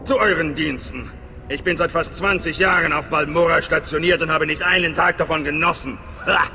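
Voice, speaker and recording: An actor playing a hearty, wry middle-aged spy station chief in a video game, theatrical and conspiratorial. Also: male voice, on the high side, fairly even intonation, moderate pace, sharp tone. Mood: jovial, wry. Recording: long reverb, game music behind.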